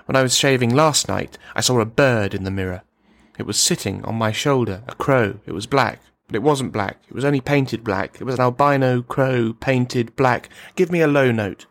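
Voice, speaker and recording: A man's voice: mid-range voice, moderate pace, hardly any pauses, lightly acted. Guy doing a creepy rambling loner in a radio play, insults everyone, paranoid, normal mid voice. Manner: insulting, taunting, verbose. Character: unhinged, menacing, rambling man, insulting and paranoid. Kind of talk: radio drama monologue.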